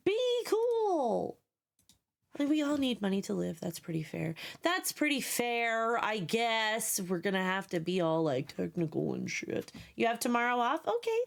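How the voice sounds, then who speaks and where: A woman's voice: a little high, sing-song, a little slow, gravelly. A young woman streaming live, talking casually to her viewers. Her voice is scratchy and sleepy, and she is blunt and sarcastic, swearing freely and repeating herself as she goes.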